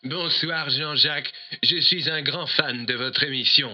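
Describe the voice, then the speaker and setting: A man's voice: on the high side, unhurried, bright, forceful tone, dull audio, exaggerated voice. A man playing an overly earnest young soldier giving testimony as a caller in an audio drama, with a solemn, recited delivery.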